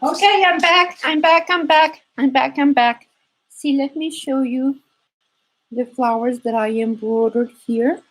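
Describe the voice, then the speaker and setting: Slow, higher-voiced woman with Spanish accent. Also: low-quality recording. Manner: halting, rambling, often repeats phrases. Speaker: craft livestream host. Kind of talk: casual livestream chat and craft demonstration.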